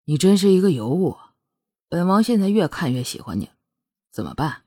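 Casting: arrogant, seductive male prince for romance audiobook, performed by a woman; mellow, breathy, teasing, possessive, commanding drawl. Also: lowish voice, very animated, fairly quick, pitched below the performer's own voice. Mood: seductive, smug.

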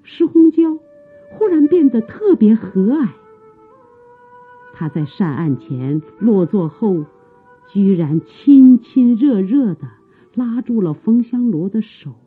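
A woman narrating a radio drama in a scripted, dramatic storytelling style, with a husky voice. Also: fairly high pitch, big pitch swings, takes it slowly, soft background music. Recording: dull, phone-like old radio recording.

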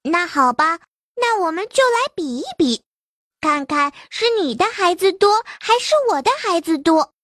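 Woman voicing a cheeky little rabbit, storybook, high sing-song voice, slow. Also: expressive, fairly bright tone, no room sound, studio quality, heavily acted. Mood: coaxing. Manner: coaxing, cheeky. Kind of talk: storybook character voice.

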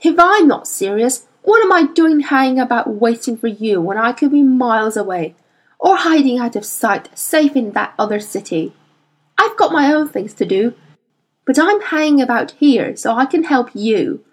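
A woman's voice: on the high side, expressive, normal speed, rough recording. A woman voicing a wary young boy who talks in a strained, urgent way in an audiobook. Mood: tense. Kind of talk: audiobook character voice.

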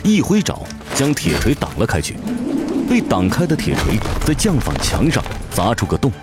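Male narrator, mid pitch, hugely varied intonation, dramatic fantasy storytelling, clear music backing. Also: huge pitch swings, normal speed. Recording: prominent music behind the voice.

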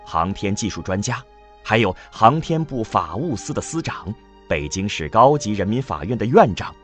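A man's voice: lowish voice, sing-song, normal speed. A man reading dramatic scripted narration for a science fiction audio drama. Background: background music.

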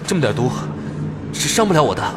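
A brash young fantasy hero with a protective streak. His voice is high and wildly animated, as in an audio drama, over a music bed. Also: quick pace. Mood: protective, defiant. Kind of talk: Chinese audio drama acting.

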